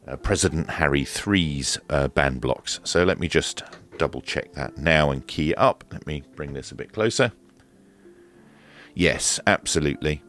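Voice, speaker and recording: A man giving a hands-on equipment review in a measured, matter-of-fact, explanatory way. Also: extremely low pitch, a bit bright. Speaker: presenter of a radio equipment review. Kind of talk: hands-on demonstration and review commentary.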